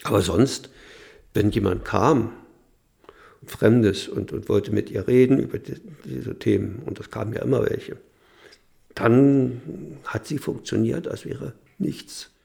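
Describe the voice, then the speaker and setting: Older man, gravelly, halting reminiscence. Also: lowish voice, darkish tone. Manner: halting, repeats words, emphatic bursts. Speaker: interviewee, son recalling his parents. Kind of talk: spontaneous reminiscence.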